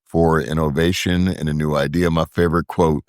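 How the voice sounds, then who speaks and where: A man's voice: extremely low pitch, level tone, normal speed, clear, resonant tone, dry recording, studio quality. A man speaking as a podcast guest, reflective and earnest in casual conversation, with the occasional burst of profanity. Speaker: podcast guest, brewery co-founder.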